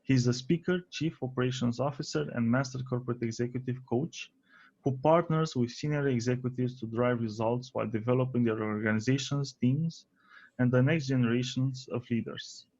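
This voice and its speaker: A man's voice: medium pitch, normal speed, a little mellow. Man hosting a podcast interview. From Romania. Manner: friendly, laughs often, fillers. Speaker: podcast host. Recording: slightly dull lo-fi audio.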